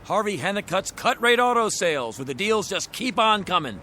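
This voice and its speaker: A man's voice: high-pitched, sing-song, normal speed, sharp tone, faint music behind, full character voice. A man playing a slangy used-car lot owner with a salesman's patter in an audio drama. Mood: eager, showy.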